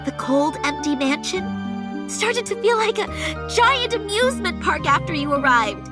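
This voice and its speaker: A woman's voice: extremely high pitch, unhurried, over loud music, full character voice. A woman playing a headstrong young noble girl, stubborn and devoted, in an anime-style game. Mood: earnest, pleading. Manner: animated, stubborn, emphatic.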